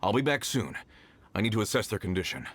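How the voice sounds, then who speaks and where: A man's voice: lowish voice, sing-song, fast, fairly bright tone, a little hiss or hum. A man voicing an earnest, businesslike mine foreman in a video game. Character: earnest middle-aged male mine foreman. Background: game music.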